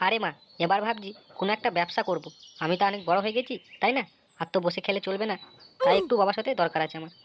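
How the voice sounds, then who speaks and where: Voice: mid-range voice, racing, close to an everyday voice. A performer voicing a cheeky, idle young man in a comic cartoon, with crude, slangy delivery. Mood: eager, evasive. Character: Futo, cheeky idle young man turning fish seller. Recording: dull lo-fi audio with some noise.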